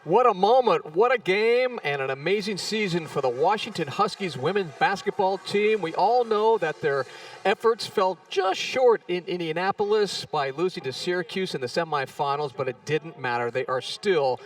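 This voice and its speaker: A man's voice: sits high, animated, normal speed, recorded from a distance. A man hosting live ceremony coverage, enthusiastic and exclamatory as he talks with guests. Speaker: event host.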